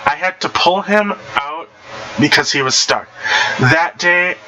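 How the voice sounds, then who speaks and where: A man casually reading anecdotes aloud in an animated way, stumbling and rereading phrases as he goes. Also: on the high side, a little slow, a little hiss or hum, low-quality recording. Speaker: online story reader.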